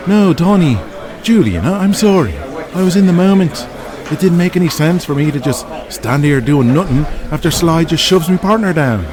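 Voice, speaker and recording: A man voicing an earnest, apologetic wrestler in an audio drama, pleading excitedly. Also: fairly high pitch, moderate pace, a crowd in the background, pitched above the performer's own voice, close to an everyday voice. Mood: flustered, apologetic. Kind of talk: audio drama character acting.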